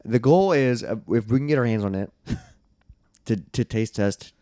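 A man's voice: medium pitch, measured pace, dark tone, dry recording. A young man co-hosting a podcast in casual chat, voice scratchy and close to giving out, rambling and swearing a lot. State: scratchy, near losing his voice. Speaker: podcast co-host.

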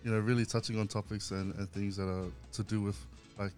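A low-pitched man co-hosting a friendly chat, eager and a little rambling as he strings his thoughts together. Music sits clearly in the background. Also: level tone, fairly quick, a little mellow. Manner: enthusiastic, welcoming, rambling. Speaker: podcast co-host. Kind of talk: casual chat.

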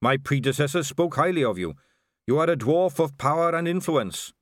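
A man voicing a dwarf king who speaks in a low, comfortable, sing-song way with quiet menace. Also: animated, normal speed, dry recording, studio quality, close to an everyday voice. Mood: calm menace.

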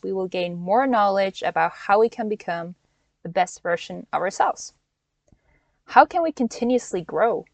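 A woman's voice: mid-range voice, no room sound. A Norwegian-born woman in her twenties hosting a solo coaching podcast from inside a van, talking in an earnest, encouraging way with small restarts and laughs. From Norway. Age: 20s. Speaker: podcast host and life coach.

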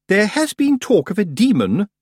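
A man's voice: sits high, huge pitch swings, measured pace, dry recording, studio quality, pitched above the performer's own voice, heavily acted. A man voicing a haughty, formal female witch with an affected, over-polite and pompous manner in a storybook reading. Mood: pompous, grave.

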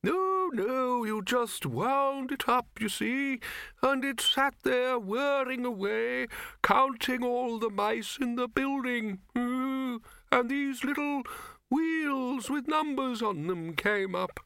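A man's voice: very high-pitched, bright, forceful tone, clean tone, no room sound, pitched above the performer's own voice, heavily acted. A man voicing an ancient, querulous old male wizard, doddering and hesitant, in a comic fantasy audiobook. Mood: rambling, reminiscing. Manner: quavering, drawn-out, hesitant, repeating himself.

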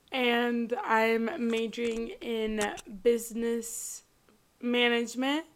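A young woman from Mexico, a guest on a casual podcast, talking softly and hesitantly with many fillers and small laughs. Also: a little high, a little hiss or hum. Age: 20s. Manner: soft-spoken, hesitant, giggly, many fillers. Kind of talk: casual chat.

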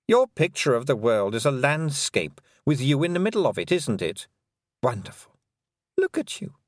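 A man putting on a cruel fairy queen, her voice lifted high and gliding with lively swoops as she purrs sweet, mocking scorn. She is condescending and quietly menacing, the reading close and dry like a storybook told at the mic. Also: dry recording. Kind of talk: audiobook character voice.

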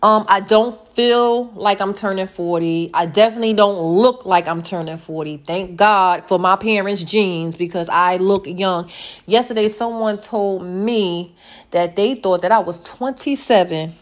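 A woman in her late thirties hosting a casual solo podcast, chatty and playful, repeating words and filling pauses with um. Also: mid-range voice. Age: late 30s. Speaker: podcast host.

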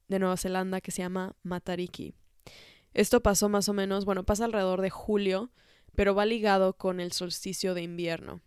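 A young woman hosting a podcast, talking in a relaxed, reflective way with many fillers, a little congested from a cold. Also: medium pitch, smooth, dry recording, clean studio sound. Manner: warm, unhurried, frequent fillers and soft laughs. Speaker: podcast host. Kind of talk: reflective casual monologue.

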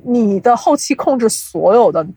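A woman's voice: on the high side, animated, moderate pace, dark tone, slightly rough, no room sound, poor audio. A woman speaking as an interview guest, explaining audio production in casual chat and mixing in English terms.

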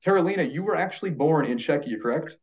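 A man co-hosting a podcast in casual chat, relaxed and rambling, with many fillers and restarts. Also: a little high, fast talker. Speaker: podcast co-host. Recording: dull lo-fi sound.

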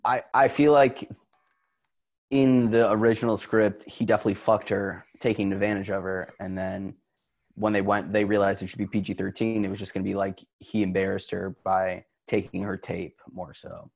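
A young man with a low, gravelly voice chats about a movie on a podcast call. He restarts his sentences often and throws in crude jokes. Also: flat delivery, moderate pace, a little mellow. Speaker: podcast co-host. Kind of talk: casual chat about a movie.

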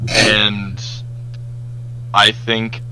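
A man's voice: on the low side, monotone, drawn-out words, bright, forceful tone. A young man co-hosting a casual group podcast over a voice call. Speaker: podcast co-host. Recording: humming, noisy voice call.

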